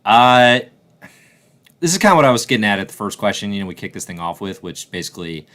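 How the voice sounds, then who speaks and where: A man's voice: lowish voice, very animated, fast talker, fairly bright tone. A man hosting a live show, chatting and commenting casually in an enthusiastic, emphatic way.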